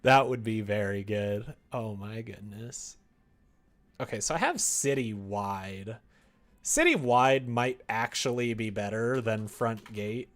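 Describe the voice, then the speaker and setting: A man's voice: lowish voice, expressive, slow pace, fairly bright tone. A man streaming casually, talking to himself in a giggly, playful way as he works. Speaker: streamer working on a visual novel. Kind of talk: casual livestream chat, thinking aloud while working.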